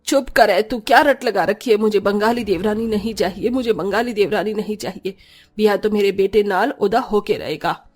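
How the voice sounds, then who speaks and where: A woman's voice: mid-range voice, moderate pace, lightly acted. A woman voicing an older, bossy Punjabi mother-in-law with a commanding, no-nonsense manner. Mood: scolding.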